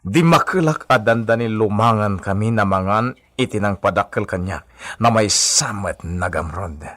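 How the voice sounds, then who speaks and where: An actor playing a scheming, swaggering brother in a melodramatic Philippine radio drama, with a taunting, exaggerated delivery. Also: male voice, on the low side, normal speed, a bit bright, close to an everyday voice. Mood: scheming, demanding. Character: theatrical, scheming twin brother with a swaggering temper.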